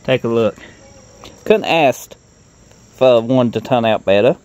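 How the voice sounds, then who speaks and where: A man's voice: mid-range voice, slow, darkish tone, slight background noise. A middle-aged man giving a casual hands-on walkthrough in a plain-spoken, folksy way, recorded outdoors on a handheld phone. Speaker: car restoration vlogger. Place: outdoors in a grassy gravel yard. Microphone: handheld phone at arm's length.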